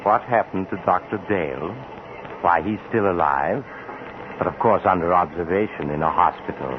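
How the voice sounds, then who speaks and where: A man's voice: deep voice, normal speed, lightly acted. A man acting as a genially sinister traveler who hosts eerie tales in 1940s radio style, conversational and insinuating. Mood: slyly eerie. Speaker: story host. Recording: noisy, tinny 1940s radio recording.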